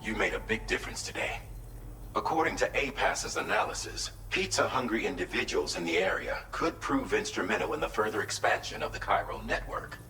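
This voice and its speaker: Composed game mission controller, deep voice, measured formal phrasing, distant in a reverberant hall, noisy ambience. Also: male voice, animated, bright, forceful tone. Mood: encouraging, formal. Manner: measured, articulate, formal, polite. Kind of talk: scripted video game briefing.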